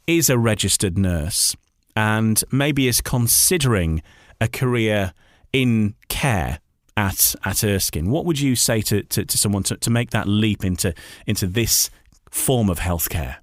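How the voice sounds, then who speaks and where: A man hosts a radio chat in a fairly low voice with a dry, close sound. He phrases things conversationally, halting and repeating words as he builds each question. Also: animated, no room sound. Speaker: radio host.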